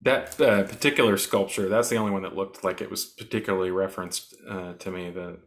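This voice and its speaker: Man, co-host, fairly low voice, thoughtful, hesitant fillers, casual art talk. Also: fairly even intonation, a little fast. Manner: thoughtful, searching for words with frequent uh fillers.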